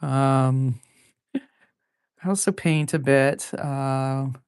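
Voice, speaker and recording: A woman in her forties with a low, deep voice, chatting casually while drawing live. She rambles, laughs often, and fills pauses with plenty of ums and uhs. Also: fairly even intonation, a little mellow, smooth, dry recording, studio quality. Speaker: artist drawing live on stream.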